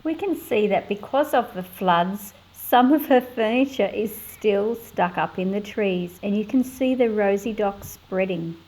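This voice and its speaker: A woman's voice: medium pitch, a little slow, hardly any pauses, a little mellow, poor audio. Woman reading a picture book aloud. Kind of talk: read-aloud narration with short explanatory asides.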